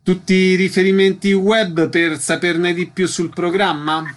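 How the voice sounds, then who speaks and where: A man hosting a radio program, with a voice pitched slightly above average. He asks brief, direct questions and moves the conversation along. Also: flat delivery, unhurried. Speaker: radio host.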